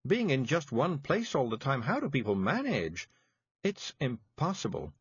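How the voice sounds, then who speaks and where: Man doing a drowsy, fading middle-aged witch: slow, faint, dreamy. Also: mid-range voice, huge pitch swings, slightly rough, lo-fi sound, pitched above the performer's own voice, full character voice. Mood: dreamy, weary. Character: dazed middle-aged woman witch, weary.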